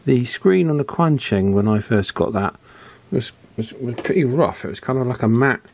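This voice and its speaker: A British man with a mid-pitched voice talks through a hands-on review as a video presenter. He muses aloud in an unscripted, chatty way, hesitating as he goes and adding dry, understated asides. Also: animated, moderate pace, darkish tone, breathy edge, dry recording. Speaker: YouTube radio reviewer. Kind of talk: casual unscripted product review, thinking aloud.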